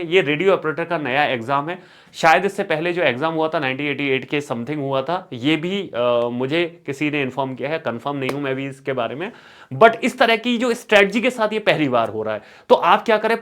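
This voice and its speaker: A man's voice: a little high, fairly bright tone. A man teaching as an exam coach, instructional, repeating key words for emphasis and mixing in English terms. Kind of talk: exam strategy lesson with course promotion.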